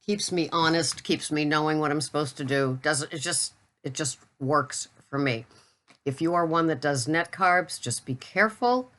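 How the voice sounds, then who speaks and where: An older woman with a low voice talks straight to camera in a loose, rambling vlog chat. She pokes fun at herself as she goes. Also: moderate pace, fairly bright tone. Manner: chatty, rambling, self-deprecating. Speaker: keto vlogger. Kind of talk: casual talking-head chat.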